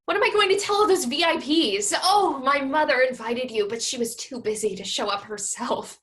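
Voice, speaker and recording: A woman's voice: high-pitched, normal speed, fairly bright tone. A woman playing a frustrated, resentful grown daughter in a staged reading of a play. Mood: hurt.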